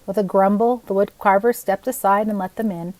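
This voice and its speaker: An older woman reads a children's story aloud. Her narration is expressive, at a medium pitch. The recording is dry, with little room sound. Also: fairly quick, no echo. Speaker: storybook reader.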